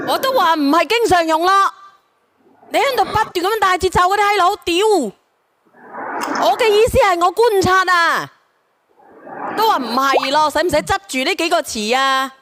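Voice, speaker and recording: A woman's voice: very high-pitched, background noise. A woman hosts a casual call-in show. She talks bluntly and coarsely, stumbling into repeated words as she steers the conversation. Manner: blunt, coarse-mouthed, stammering repetitions. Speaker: live call-in show host. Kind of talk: casual unscripted call-in talk.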